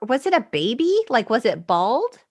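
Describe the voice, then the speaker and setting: A woman co-hosting a podcast in casual comedic chat, with animated and wry banter. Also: on the high side, normal speed. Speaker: podcast co-host.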